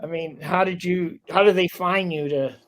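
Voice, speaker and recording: A man's voice: high-pitched, fairly even intonation, normal speed, fairly bright tone. A man hosting a local talk show, chatting casually with a guest and rambling with lots of fillers.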